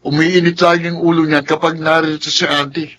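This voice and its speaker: A man plays a cheeky teenage Filipino brother in a radio drama. His voice is fairly high and sharp, and he talks in a whiny, defensive way. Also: level tone, fairly quick, slight background noise, lightly acted. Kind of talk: radio-drama style dialogue.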